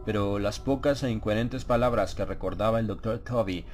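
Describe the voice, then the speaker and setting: A man narrating a dramatized horror audiobook in a measured, solemn storytelling manner. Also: lowish voice, darkish tone, faint music behind. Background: ambient sound effects.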